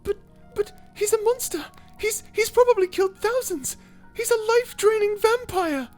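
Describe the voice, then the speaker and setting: A woman voicing a cute, feisty little mouse in a character audio roleplay, earnest, proper and excitable. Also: very high-pitched, normal speed, soft background music, voice pushed up. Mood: alarmed, indignant. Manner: excitable, indignant, proper, stammering.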